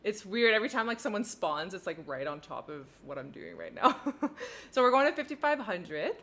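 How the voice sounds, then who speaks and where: Bright-voiced chatty French Canadian woman. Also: mid-range voice, very animated, normal speed. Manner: chatty, giggly, explains her cockpit steps aloud. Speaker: flight sim livestream host. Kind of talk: casual livestream chat while flying.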